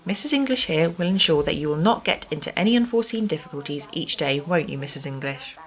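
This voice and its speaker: An actress playing a stern, officious care-home manager in an amateur radio play, formal, clipped and condescending. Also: female voice, sits low, expressive, quick pace. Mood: smugly firm.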